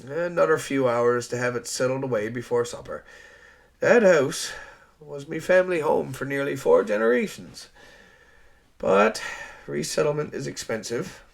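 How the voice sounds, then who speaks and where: A man's voice: on the high side, animated, moderate pace, vocal fry, voice pushed up. A man playing a self-assured, courteous storekeeper with an easygoing, folksy way of talking. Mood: talkative.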